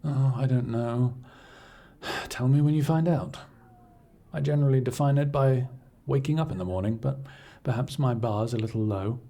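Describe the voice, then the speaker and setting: A man performs an imperious male scholar in service to an arcane patron, speaking straight to the listener in an audio drama. His voice is mellow and husky at a middling pitch. He is measured and formal, with a cool, detached condescension. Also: close to an everyday voice. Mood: wry, weary. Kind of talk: scripted audio roleplay acting, addressing the listener.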